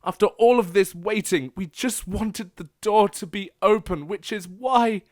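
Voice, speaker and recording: A man's voice: high voice, a little slow, no echo, studio quality. A man voicing a bitter, disillusioned pony who records a sardonic log in an audio drama. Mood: bitter, weary.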